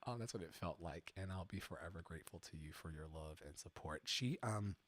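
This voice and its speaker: A man hosting his own live music stream, chatting softly and a bit rambly between songs, thanking viewers and fiddling with his mic settings. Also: sits low, fairly quick. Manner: soft-spoken, rambling, grateful asides to viewers. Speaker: live-streaming singer and host. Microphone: vocal microphone with EQ and compression.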